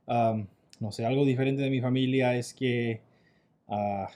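A man's voice: mid-range voice, steady tone, unhurried, warm tone. A man, a youth pastor, speaking hesitantly and unsure in a casual podcast conversation.

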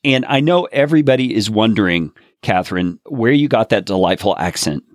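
A man with a fairly low voice hosts a podcast. He talks with enthusiasm and likes to wrap things up with long explanations for his listeners. The recording is dry and close to the mic. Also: expressive, brisk, dry recording. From United States. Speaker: podcast host. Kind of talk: podcast interview.

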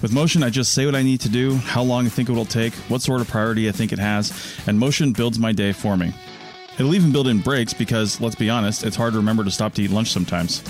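A man reading promotional announcements for a podcast network in a plain-spoken, informal way. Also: lowish voice. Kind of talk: promotional read. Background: guitar music.

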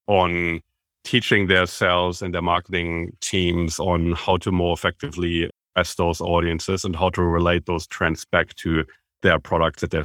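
A man with a very low voice and a German accent talks as a podcast guest. He explains at length and with open enthusiasm. The sound is dry and close. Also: a bit bright, no room sound. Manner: enthusiastic, self-described business nerd, explains at length. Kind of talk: conversational interview.

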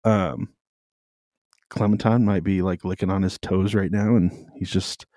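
Male podcast co-host, warm low voice, casual rambling delivery over a call. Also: steady tone, measured pace, dry recording, clean studio sound. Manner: loose, rambling, filler-heavy talk. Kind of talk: casual faith podcast chat.

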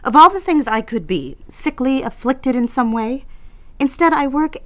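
A woman's voice: high voice, expressive, normal speed. A woman playing an earnest, proper young single career girl in an audio drama, speaking in old-fashioned, demure phrases. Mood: defensive. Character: earnest twenty-four-year-old single career girl telling her diary. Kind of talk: audio drama narration and dialogue. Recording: music under the voice. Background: music.

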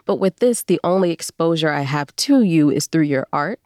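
A woman with a notably low voice chats as an interview guest. She is expressive and emphatic, and the recording is very dry, with hardly any room sound. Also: moderate pace, no room sound. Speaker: guest voice performer. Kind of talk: casual conversational interview.

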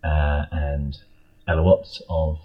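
A man with a husky voice, talking casually as a guest in an interview. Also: a bass voice, flat delivery, slow pace, dull audio. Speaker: interview guest, audio drama creator.

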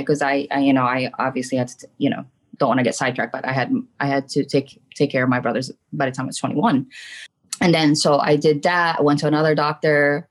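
Podcast guest: woman, middle-aged, low register, from the Philippines, casual and candid personal storytelling with emphatic check-ins. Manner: candid, emphatic, often checks in with "right" and "you know". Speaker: podcast guest, trauma coach and spiritual medium.

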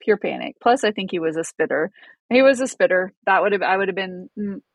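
A woman's voice: medium pitch, animated, a little fast, vocal fry, dry recording, muffled recording. A woman co-hosting a podcast, chatting casually and rambling, often restarting and laughing at her own nerves. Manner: self-deprecating, rambling with restarts. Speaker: podcast co-host. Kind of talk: casual chat.

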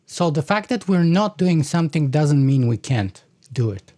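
A man in his forties lays out his argument like a lecture, often restarting his sentences. His voice sits a little high and sounds mellow. It is recorded dry, with no room echo. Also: lively intonation, moderate pace. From Bulgaria. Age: 40s. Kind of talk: conversational podcast discussion.

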